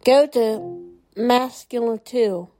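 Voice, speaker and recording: Slow-talking woman with a dark voice. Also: on the high side, rough recording. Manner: short spoken commands, relaxed explaining. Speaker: presenter demonstrating a smart speaker. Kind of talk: casual demo walkthrough.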